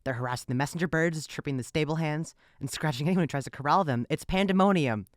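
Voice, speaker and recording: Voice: mid-range voice, quick pace, dry recording, studio quality, lightly acted. An actor in an audio drama playing an earnest, loyal royal retainer with a formal, declamatory manner. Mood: alarmed, urgent.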